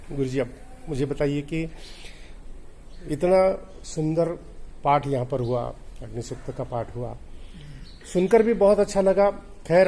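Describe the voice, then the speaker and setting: Appreciative man interviewing on site. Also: a little high. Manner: appreciative, often murmurs soft acknowledgements. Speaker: visiting interviewer.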